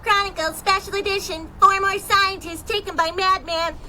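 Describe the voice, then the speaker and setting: Piercing, extremely high news seller, loud hawking cries, faint street noise, period radio. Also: female voice, steady tone, normal speed, exaggerated voice. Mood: urgent hawking. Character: shrill, excitable street newspaper seller. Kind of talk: 1930s-style radio drama acting.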